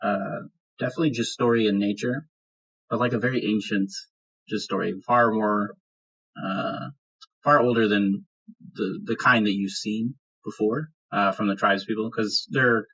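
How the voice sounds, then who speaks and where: A man's voice: on the low side, steady tone, moderate pace, fairly bright tone, breathy edge. A man working as game master, narrating a tabletop roleplaying game in an improvised, conversational way. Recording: dull lo-fi sound.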